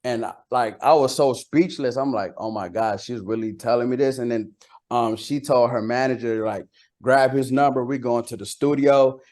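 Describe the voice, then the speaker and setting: A man from Costa Rica who grew up speaking Spanish talks in a relaxed interview as a rapper. His pitch sits in the middle for a man. He uses slang freely and repeats words to drive a point home. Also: normal speed. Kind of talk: casual interview chat.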